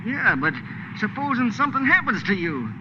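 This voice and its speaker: Casting: querulous, uneducated American sidekick, very high-pitched, 1940s radio drama, noisy vintage sound. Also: male voice, sing-song, moderate pace. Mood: hurt, needy. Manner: folksy, ungrammatical, querulous. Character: needy, boastful, uneducated deckhand sidekick, a man. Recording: muffled, noisy old radio recording.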